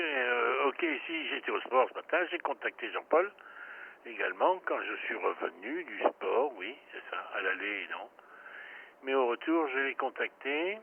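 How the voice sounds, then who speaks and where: Man on a thin, slightly noisy radio. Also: mid-range voice. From France. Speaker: amateur radio operator. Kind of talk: casual radio chat. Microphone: radio transceiver microphone. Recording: faint narrowband radio with light noise.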